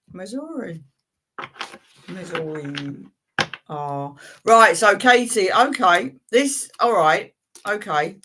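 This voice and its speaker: A woman's voice: fairly low pitch, pushed and bright. A woman hosting a live tarot reading stream, chatting in a friendly way with viewers and reading cards for them, with frequent fillers and laughs.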